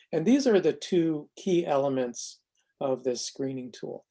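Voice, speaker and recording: A man presenting a software demonstration in an informal, unscripted way, explaining carefully and haltingly with self-corrections. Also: on the high side. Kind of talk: informal narrated screen demo, unscripted explanation.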